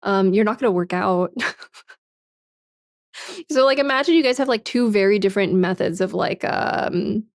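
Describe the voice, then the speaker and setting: Woman streamer, twenties, casual chat, frank, swears and laughs a lot, mid-pitched, dry close sound. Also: moderate pace, long pauses, dry recording. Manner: frank and chatty, swears often, laughs a lot, fills with "like" and "man". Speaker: livestreamer. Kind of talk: casual chat, reading and answering viewer comments.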